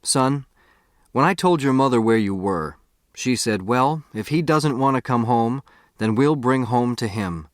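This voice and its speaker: A man's voice: mid-range voice, expressive, a little slow, dry recording, clean studio sound, lightly acted. Man playing a hearty, emphatic pioneer dad. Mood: fond, plain. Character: proud, hearty, stubborn pioneer father.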